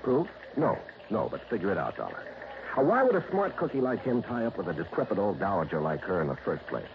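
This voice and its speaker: A man's voice: lowish voice, huge pitch swings, quick pace. An actor playing an eager, talkative small-town radio newsman in an old radio drama. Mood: insinuating. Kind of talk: 1960s radio drama acting. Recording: dull old radio recording with hiss.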